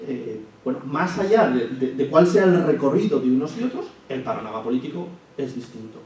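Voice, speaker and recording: A man giving a public lecture, measured and thinking aloud with frequent restarts and hesitations. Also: medium pitch, expressive, darkish tone. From Spain. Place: lecture hall. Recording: echoey hall, from far off, faint hiss.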